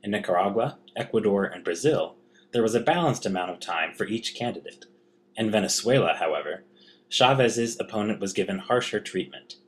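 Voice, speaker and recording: Low-pitched man reading a script. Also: animated, fairly quick, a little hiss or hum. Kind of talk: scripted narration.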